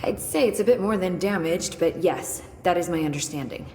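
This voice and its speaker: An actress playing a witty, curious young research scientist in a video game, explaining things in a precise, wry, chatty way. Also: female voice, on the low side, moderate pace, warm tone, close to an everyday voice. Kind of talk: video game dialogue acting. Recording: echoey hall reverb with noisy game ambience.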